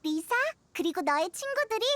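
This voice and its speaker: A woman's voice: extremely high pitch, very animated, moderate pace, a little hiss or hum. A woman voicing a tiny, childlike fairy companion in an anime game, inquisitive and full of exclamations. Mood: earnest, encouraging. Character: tiny cheerful floating fairy girl companion.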